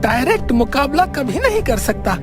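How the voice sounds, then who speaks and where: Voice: sits high, big pitch swings, normal speed, over music. A woman voicing a childish, boastful cartoon ghost with a theatrical, sing-song drawl. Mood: scheming. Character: childish, boastful ghost, meant to scare, easily cowed. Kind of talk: cartoon voice acting.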